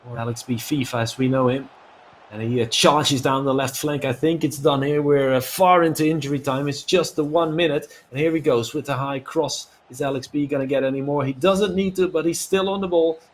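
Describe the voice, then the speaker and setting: A man's voice: on the high side, fairly even intonation, brisk, slight background noise. A man giving live play-by-play commentary on a football video game as an esports commentator.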